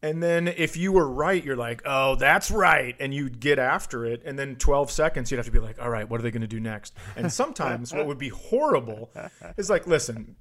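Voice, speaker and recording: A man's voice: fairly high pitch, fairly bright tone. A man in casual comic banter, telling anecdotes in a rambling way and often interrupting himself. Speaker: comedy podcast host.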